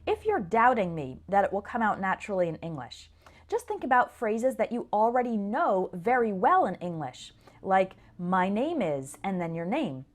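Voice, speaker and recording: A woman English teacher giving an instructional lesson, explaining things clearly and speaking directly to learners. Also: medium pitch, very animated, moderate pace. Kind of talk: instructional lesson to camera or listeners.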